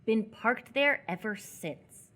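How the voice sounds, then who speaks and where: A woman's voice: on the high side, lively intonation, slow pace, sharp tone, full character voice. A woman acting a laconic local teenager passing on grim gossip in live radio theatre. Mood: matter-of-fact, grim.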